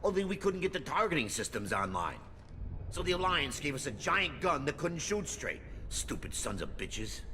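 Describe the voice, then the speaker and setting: An actor playing a rattled, resentful colony mechanic in a video game, suspicious and grumbling. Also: male voice, fairly high pitch, very animated, moderate pace, pushed and bright, in a large hall, a little hiss or hum. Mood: bitter, suspicious. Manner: grumbling, agitated.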